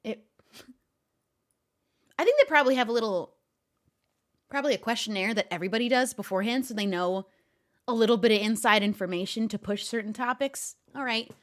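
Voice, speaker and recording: High-voiced giggly woman streamer. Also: brisk, long pauses. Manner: giggly, animated, talks to a pet. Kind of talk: casual reaction commentary.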